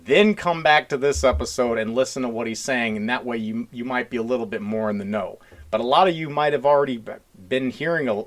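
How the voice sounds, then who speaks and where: Male podcast host, mid-pitched voice, earnest and rambling, plainspoken blue-collar talker in casual discussion. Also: fairly quick.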